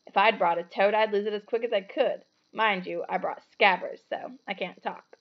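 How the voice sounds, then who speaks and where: A woman's voice: medium pitch, steady tone, normal speed, muffled recording, close to an everyday voice. A woman voicing a blunt, casual young schoolboy with an offhand, emphatic way of talking in a storybook. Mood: grumbling.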